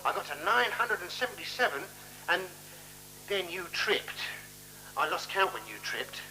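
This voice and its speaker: A patient, naively questioning man in a vintage radio play. He speaks deliberately and repeats himself, with a bright tone and very lively intonation. The old radio recording has a light hiss and hum. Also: high voice, exaggerated voice, poor audio. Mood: childlike, musing. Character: patient, mild, naively curious male companion. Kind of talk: 1970s radio play acting. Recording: hissy, humming 1976 radio recording.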